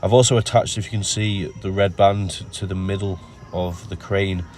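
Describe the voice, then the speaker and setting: A man with a nasal voice from a cold, explaining his gym workout in a relaxed voice-over full of ums. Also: low voice, moderate pace. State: has a cold. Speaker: bodybuilder narrating his workout. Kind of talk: casual voice-over commentary.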